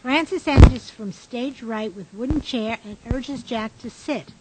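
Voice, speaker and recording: A woman's voice: on the high side, a little slow, noisy background. A woman reading stage directions as scripted narration for a radio drama.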